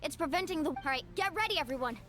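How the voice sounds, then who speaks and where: A woman's voice: sits high, animated, very fast, fairly bright tone, faint music behind. A woman voicing an earnest, caring young heroine in an anime-style video game. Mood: urgent resolve.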